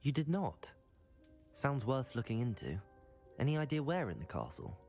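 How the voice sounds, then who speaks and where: A man playing a polite, curious teenage wizard student in a video game, with a measured, courteous delivery. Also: mid-range voice, wildly swooping pitch, a little mellow, faint music behind. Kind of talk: video game cutscene acting.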